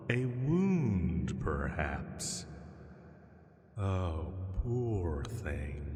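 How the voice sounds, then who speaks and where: A man voicing an ancient dragon in an audio roleplay, menacing and smug, taunting his prey with deliberate, mock-pitying words. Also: low-pitched, darkish tone, full character voice. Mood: predatory, taunting. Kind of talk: slow, intimate scripted roleplay monologue. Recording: cavernous long reverb.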